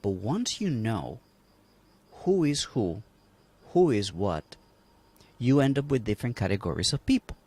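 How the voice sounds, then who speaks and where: A man's voice: lowish voice, big pitch swings, measured pace, long pauses, darkish tone, breathy edge. A man from Colombia speaking emphatically on a livestream panel, asking rhetorical questions. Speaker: panel guest.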